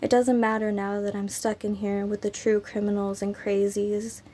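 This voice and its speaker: A woman with a sick, raspy voice, hosting her poetry channel and reading her own poems in a slow, unhurried way with frequent ums. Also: mid-range voice, little pitch movement, mellow.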